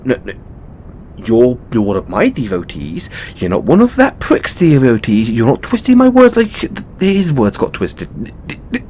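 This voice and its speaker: A man playing a flustered, tender god boyfriend in an intimate audio roleplay, stammering and repeating his words. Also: darkish tone. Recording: noticeable low rumbling background noise.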